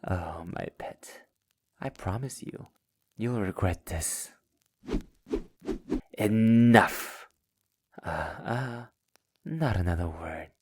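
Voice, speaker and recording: A man playing a sadistic, possessive immortal vampire master in an intimate ASMR roleplay, taunting theatrically in a breathy, gravelly voice that often nears a whisper. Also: deep voice, expressive, very slow, long pauses, a little mellow, full character voice. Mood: angry.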